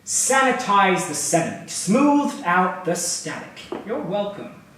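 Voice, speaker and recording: An actor, a man, playing a glib, excitable tech founder in a staged reading, showy and sardonic in manner. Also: high-pitched, slow, heavily acted. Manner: showy, sardonic, flustered asides. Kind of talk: theatrical monologue, staged reading. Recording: very echoey room, distant mic.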